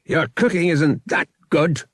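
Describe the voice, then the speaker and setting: Cruel starship captain for an audiobook: raspy, menacing, commanding, pitched up from the reader. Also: male voice, measured pace, no room sound, studio quality. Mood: snapping. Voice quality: harsh, snarling. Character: cruel, snarling male starship captain, a ruthless villain.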